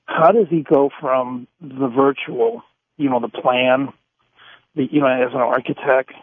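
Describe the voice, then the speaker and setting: A man with a husky voice, calling a radio show and haltingly searching for his words. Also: mid-range voice, steady tone, no room sound. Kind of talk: rambling spontaneous question. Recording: tinny, dry phone line.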